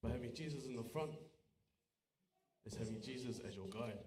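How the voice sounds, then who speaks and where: A man's voice: mid-range voice, brisk, darkish tone. A man speaks informally to a church congregation, giving a testimony in a conversational but emphatic way, repeating key phrases. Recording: roomy church echo, faint background noise.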